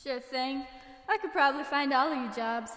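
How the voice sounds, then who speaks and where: A woman acts out a breezy young Californian surfer woman for an old-time radio comedy. Her voice is high and sharply bright, ringing through an echoey hall. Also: moderate pace. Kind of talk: amateur 1930s-style radio comedy acting.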